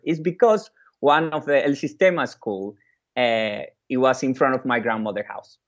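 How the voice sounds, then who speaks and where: A man from Venezuela, a native Spanish speaker with a Venezuelan accent, giving a keynote speech with personal stories in a halting way, repeating phrases and pausing. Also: medium pitch, lo-fi sound. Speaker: keynote speaker, music educator and orchestra leader.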